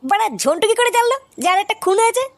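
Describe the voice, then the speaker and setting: Squeaky, rapid-fire, brash cartoon youth. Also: lively intonation. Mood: brash, argumentative. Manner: brash, slangy, argumentative. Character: excitable, foul-mouthed cartoon young club member. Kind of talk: crude comic cartoon dub.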